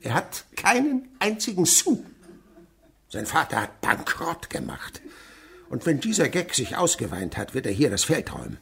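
A stingy old provincial patriarch, gravelly creak, blunt n scornful, voice leaps all over, radio drama. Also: male voice, on the high side, wildly swooping pitch, moderate pace, fairly bright tone, full character voice. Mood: scolding. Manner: blunt, scornful, lecturing, abrupt swings in delivery. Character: miserly, callous old provincial patriarch, domineering father. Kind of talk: German radio play acting.

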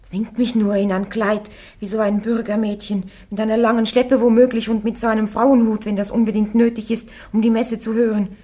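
A woman's voice: fairly high pitch, little pitch movement, a little fast, dark tone, lightly acted. An actress playing a steadfast young woman on trial in a radio play, plain-spoken, resolute and earnest. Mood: defiant. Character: young Joan of Arc on trial, steadfast and defiant. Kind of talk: German radio play acting.

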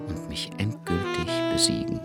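A man's voice: on the low side, wildly swooping pitch, normal speed, vocal fry, loud music behind the voice, heavily acted. An actor playing a brooding, weary husband and father in a radio drama, speaking in a subdued, introspective way. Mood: wry resignation. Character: Tolja, brooding weary husband and father, low-voiced narrator. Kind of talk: radio drama inner monologue and dialogue.

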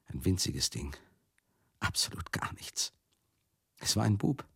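A world-weary male companion in a radio play, with a low, husky, creaking voice recorded close and dry. He confides directly in the listener, halting and repeating himself, bitter and tender at once, often sinking into a breathy murmur. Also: big pitch swings, a bit bright, no echo, heavily acted. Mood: anguished, haunted. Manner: halting, repetitive, conversational, addressing listeners directly. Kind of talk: radio play monologue, intimate confessional storytelling.

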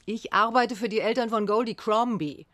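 A woman's voice: fairly high pitch, moderate pace, fairly bright tone, no room sound, close to an everyday voice. An actress playing a no-nonsense female private detective in a radio drama, direct, businesslike and insistent.

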